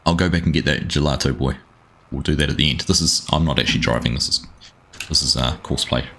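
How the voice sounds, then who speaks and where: Deep husky New Zealand man streaming. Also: fast talker. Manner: relaxed, rambling, greets chat by name. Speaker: gaming livestreamer. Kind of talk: casual live-stream chat while playing.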